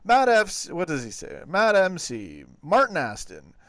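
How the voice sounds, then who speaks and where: Brief: male football show host, easygoing and rambling, voice a touch high, dry room. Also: huge pitch swings, unhurried, a bit bright, no echo. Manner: laid-back, rambling, chatty. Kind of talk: live-streamed football talk show.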